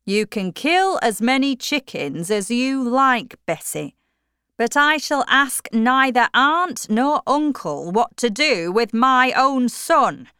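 A woman doing a stubborn, forceful old-fashioned miller. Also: on the high side, big pitch swings, slow, clear, resonant tone, no echo, clean studio sound, pitched above the performer's own voice, exaggerated voice. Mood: scolding. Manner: forceful, emphatic, raised voice. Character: stern middle-aged country miller, stubborn and proud.

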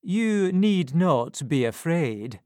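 A woman reading a storybook and voicing an excitable male doctor who fusses and exclaims. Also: deep voice, drawn-out words, no echo, studio quality, heavily acted. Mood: fussing. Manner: exclaiming, fussing.

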